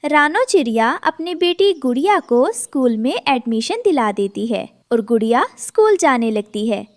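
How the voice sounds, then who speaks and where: High, animated woman storyteller. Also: unhurried, dry recording. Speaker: narrator. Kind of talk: scripted children's story narration.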